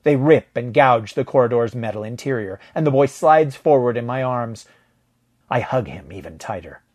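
A man's voice: on the low side, a little mellow, slightly rough. A man narrating first-person science fiction fiction from a script as a storyteller.

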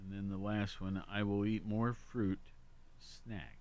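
A man co-hosting a casual talk, reading humorous lists aloud deliberately and emphatically, with offhand asides. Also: lowish voice, flat delivery, darkish tone. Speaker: talk show co-host.

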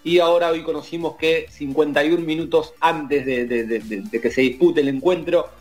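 Argentine man, host, higher-pitched, soft music behind, narrates with stammers. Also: fairly even intonation, moderate pace. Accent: Argentine Spanish. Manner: narrating events, stammers at times. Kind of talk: casual sports talk.

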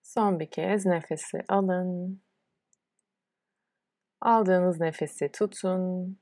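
Female yoga nidra guide, lower register, dry close sound, soothing and unhurried delivery. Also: fairly even intonation, warm tone, no room sound. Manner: soothing, unhurried instruction with pauses. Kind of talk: guided relaxation meditation.

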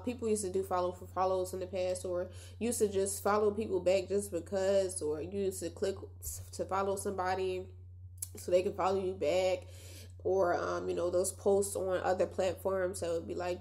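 A woman's voice: on the low side, level tone, normal speed, darkish tone. A young woman with a nasal voice hosting a casual solo podcast, chatty and reflective, talking straight to her listeners. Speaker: podcast host.

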